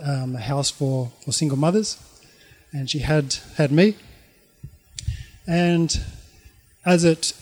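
A middle-aged Australian man shares a personal story in a fairly high male voice. He speaks informally and reflectively, halting often as he searches for the next phrase. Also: a little mellow.

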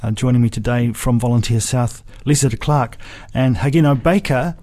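A man hosting a conversational interview, phrasing his questions in a measured, reflective way. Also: mid-range voice, fairly even intonation, darkish tone. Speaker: radio show host.

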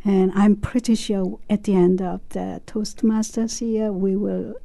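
A woman in her sixties, a club member talking about her club in an interview, speaking haltingly with many pauses. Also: medium pitch, level tone, gravelly, warm tone. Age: 60s. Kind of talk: casual interview chat.

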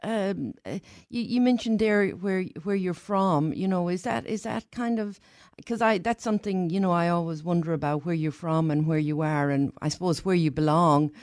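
A woman hosting a radio interview, asking questions haltingly with many restarts and fillers. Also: fairly low pitch, darkish tone, dry recording, studio quality. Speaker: radio host.

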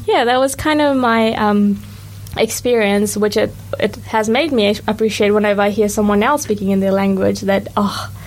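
A young woman hosting a casual radio chat, reflective and quick to agree. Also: medium pitch, fairly even intonation, darkish tone. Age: young adult. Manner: reflective, chatty, lots of agreeing "yeah". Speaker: radio show host. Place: radio studio.

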